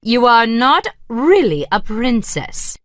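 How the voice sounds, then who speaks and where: A haughty, demanding queen, as a man reading a storybook puts her on, cold and commanding. She speaks at a very slow, deliberate pace, with her pitch swooping high and low. The sound is dry and close. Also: sing-song, a bit bright, no room sound, heavily acted. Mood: stern. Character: stern haughty middle-aged queen, demanding.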